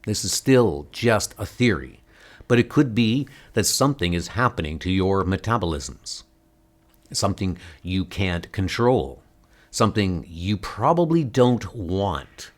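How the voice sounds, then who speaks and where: Guy doing a serious older comic book hero, deep and slow, earnest explainer type. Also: sing-song. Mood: grave, earnest. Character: grave older male scientist hero hunting missing niece.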